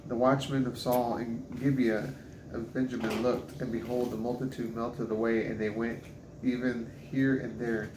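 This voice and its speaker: A man reads scripture aloud plainly in a flat, even tone at a middle pitch. He occasionally stumbles. The room echoes and there is clear noise behind him. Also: moderate pace. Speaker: scripture reader. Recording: roomy, noisy home recording.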